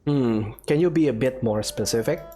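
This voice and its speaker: A woman casually commentating on her video game. Her voice is exceptionally deep for a woman, and her delivery is laid-back and wry, with faint music behind. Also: moderate pace, mellow. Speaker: gamer commentating on playthrough. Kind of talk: casual let's play commentary.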